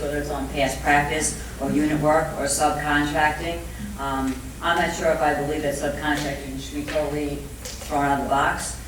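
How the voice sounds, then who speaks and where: An echo-heavy conference recording with faint noise carries a middle-aged or older speaker at some distance from the mic. The accent is Brooklyn and the voice sits at a medium-to-ambiguous pitch. The delivery is conversational and emphatic, like a seasoned negotiator explaining things plainly to a panel audience. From Brooklyn, New York, USA. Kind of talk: panel talk, speaking off the cuff. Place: indoor conference room with audience.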